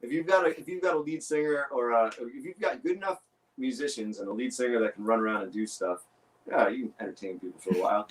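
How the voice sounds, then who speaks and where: A man with a low voice, a guest musician chatting casually and animatedly, full of "you know" and "right". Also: quick pace, low-quality recording. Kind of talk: casual chat.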